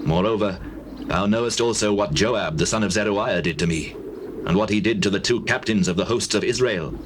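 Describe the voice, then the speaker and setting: Man playing a deep-voiced, dying old king. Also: close to an everyday voice. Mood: solemn counsel. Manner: grave, deliberate, authoritative. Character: dying old king David, solemnly charging his son. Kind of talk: dramatized scripture, classical stage acting. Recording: noisy, hissy old cassette tape.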